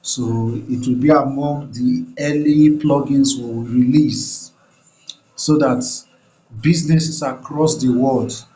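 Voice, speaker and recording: A man casually announcing his company's software plugins, explaining in loose, unhurried phrasing. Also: fairly high pitch, poor audio.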